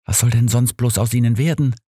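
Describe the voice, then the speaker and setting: A man plays a proud woman in an audiobook drama of crime. She talks quietly, resigned yet defiant, raised a little above the narration. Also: medium pitch, fairly even intonation, a little fast, breathy edge, no echo, clean studio sound, close to an everyday voice. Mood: resigned, pleading. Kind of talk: audiobook character reading.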